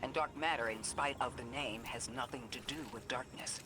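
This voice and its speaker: A man's voice: mid-range voice, moderate pace, music in the background, lightly acted. A man playing a knowledgeable mission advisor in a science fiction video game, explaining things matter-of-factly. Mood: focused. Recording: clear background noise.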